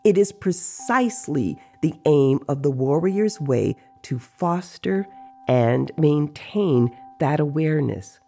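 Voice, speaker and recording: Reader acting stern male sorcerer teacher, blunt, slow, gravelly, audio story. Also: medium pitch, expressive, dark tone, soft background music. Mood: stern instruction.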